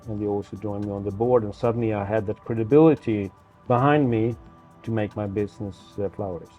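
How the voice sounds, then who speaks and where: A man, with a mellow, darker tone and a slightly dull, muffled sound. He tells his story casually, stumbling over repeated words and tagging sentences with "you know". Faint music plays underneath. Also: on the low side, fairly even intonation, a little fast. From Sweden. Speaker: investor, guest. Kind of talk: casual interview talk.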